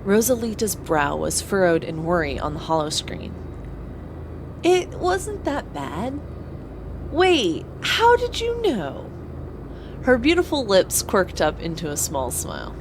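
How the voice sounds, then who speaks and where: A woman's voice: mid-range voice, sing-song, slow pace, noisy background. A woman playing an earnest young space pilot who narrates her own story in an audio drama. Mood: surprised, earnest. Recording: clear vehicle ambience behind voice.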